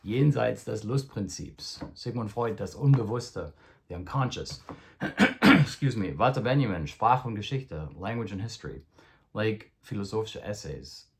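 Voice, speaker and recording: A man's voice: fairly low pitch, animated, measured pace, vocal fry. A man born in the United States and raised in Europe, chatting enthusiastically through a bookshelf tour at home, with lots of fillers. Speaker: video creator giving a bookshelf tour. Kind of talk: casual spoken vlog.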